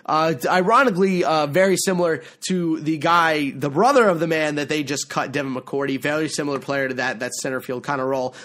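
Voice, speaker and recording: Brief: young male podcast host, higher pitch, rambling, self-correcting, slightly hoarse. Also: fairly quick, hardly any pauses, a bit bright. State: losing his voice. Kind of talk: solo mock draft commentary.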